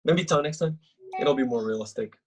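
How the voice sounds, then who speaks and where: A man's voice: mid-range voice, a little fast, a little hiss or hum, natural voice. A man playing a cocky, oblivious young actor and ladies' man in a table read of a comedy script, with a breezy, boastful manner.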